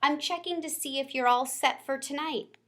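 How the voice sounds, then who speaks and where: A woman's voice: sits high, moderate pace, pitched above the performer's own voice, natural voice. Woman playing a strict, formal gym teacher. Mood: firm. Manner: formal, firm.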